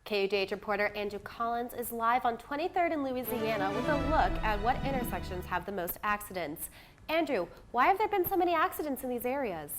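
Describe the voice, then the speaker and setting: A woman's voice: fairly high pitch, lively intonation, a little fast, faint music behind. A woman anchoring a TV newscast, reading a scripted introduction. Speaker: TV news anchor.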